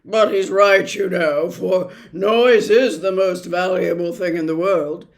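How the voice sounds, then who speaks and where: A narrator voicing a blustering male storybook doctor, booming and emphatic, with a declamatory delivery. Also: fairly even intonation, takes it slowly, vocal fry. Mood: roaring, triumphant. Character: blustering, roaring male doctor who adores noise.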